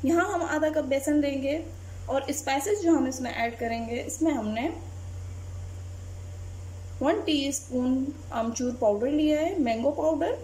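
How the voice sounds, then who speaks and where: A woman, narrating a home cooking recipe step by step in a calm voice-over as channel host. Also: high voice, a little slow, a little hiss or hum, poor audio.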